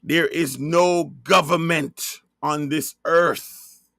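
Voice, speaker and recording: Jamaican man, high voice, gravelly, emphatic preaching, dry sound. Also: fairly even intonation, very slow, a bit bright, dry recording. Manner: emphatic, repeats and spells out key words. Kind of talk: slow sermon-style monologue.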